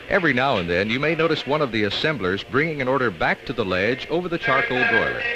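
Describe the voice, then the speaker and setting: An American man reads scripted training film narration in an instructional way with measured emphasis, on an old 1960s film soundtrack. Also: medium pitch, dull audio.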